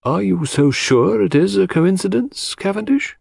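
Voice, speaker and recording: A man voicing a dry, suspicious male lawyer who speaks formally and deliberately in an audiobook mystery. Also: sits high, animated, vocal fry, darkish tone, breathy edge, dry recording, voice pushed up, heavily acted. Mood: pointed, grave.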